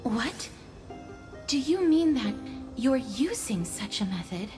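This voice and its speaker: A woman playing a polite, perceptive young scholarly heroine in an anime game dub, speaking softly and formally. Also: on the high side, sing-song, slow pace. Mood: surprised. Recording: game music behind.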